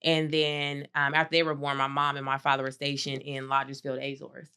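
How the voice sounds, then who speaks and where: A woman's voice: extremely low pitch, monotone, a little fast, pushed and bright, smooth. A woman guest on a podcast, telling her story in a chatty way, with lots of "like" and "you know" and easy laughter. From Sacramento, California. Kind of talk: casual interview chat.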